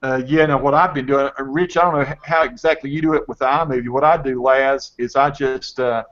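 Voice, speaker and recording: A man's voice: mid-range voice, fairly even intonation, a little fast, a bit bright. A man in his fifties with a Southern US accent, hosting a casual video call chat and often repeating words as he restarts. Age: 50s. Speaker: host. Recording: dull lo-fi video call audio.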